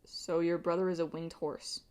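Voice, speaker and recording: A woman's voice: lowish voice, little pitch movement, normal speed, darkish tone, clear, resonant tone, lightly acted. A woman voicing a brash, wisecracking teenage boy hero in an audiobook, casual and blunt. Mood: baffled.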